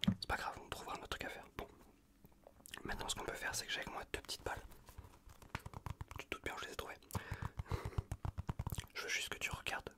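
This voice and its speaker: A man whispering close to the microphone in an ASMR exam roleplay, giving casual, reassuring instructions in a breathy voice with soft repetitions. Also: brisk, long pauses. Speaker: ASMR performer playing an improvised examiner. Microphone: close USB condenser microphone.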